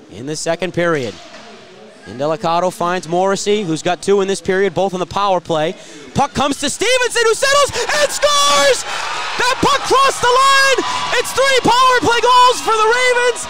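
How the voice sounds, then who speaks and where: A man doing live radio play-by-play of ice hockey, describing each move and surging with excitement on big plays. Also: high voice, slight background noise. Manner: rapid-fire descriptive calls with excited surges at big plays.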